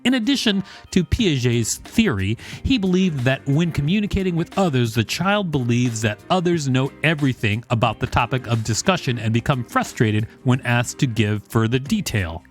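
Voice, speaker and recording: A man's voice: medium pitch, expressive, normal speed, faint music behind. A man hosting a podcast, reading an encyclopedia article aloud. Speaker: podcast host.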